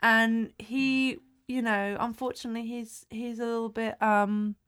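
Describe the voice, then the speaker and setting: Chatty woman, slightly high voice. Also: flat delivery, unhurried, fairly bright tone, clear, resonant tone. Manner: reactive, interjecting. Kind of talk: casual chat.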